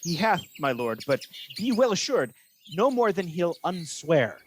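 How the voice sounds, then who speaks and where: A man's voice: high voice, big pitch swings, background noise. A man acting a manipulative villain in a Shakespearean radio play, insinuating and theatrical, posing as a loyal officer. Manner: insinuating, measured, theatrical verse delivery.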